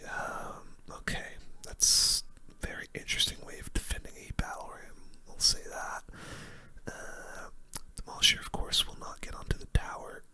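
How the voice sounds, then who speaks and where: A young man whispering relaxed, informal ASMR commentary over a game, close to the microphone. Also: normal speed. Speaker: gaming video creator.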